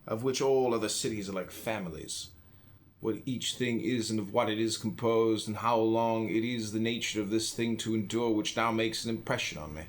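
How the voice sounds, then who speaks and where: A man reading an old philosophy book aloud in measured phrases, pausing between them. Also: fairly low pitch. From United States. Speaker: audiobook reader.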